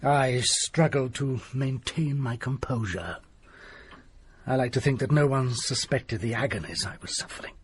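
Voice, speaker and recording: An actor playing a haunted scholarly doctor who pleads and flares into rage in a period radio drama. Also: male voice, medium pitch, slight background noise, natural voice. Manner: pleading, theatrical, quick to rage.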